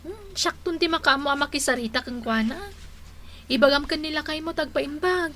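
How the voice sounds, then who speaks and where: An actress playing a caring but exasperated grown daughter in a radio drama, coaxing and chiding with an Ilocano accent. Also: female voice, high voice, animated, brisk, fairly bright tone. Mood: friendly.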